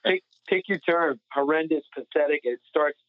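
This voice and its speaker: A man's voice: fairly high pitch, normal speed, no echo. A man giving blunt, critical opinions in casual sports talk, heard over a tinny, narrow line. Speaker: podcast panelist.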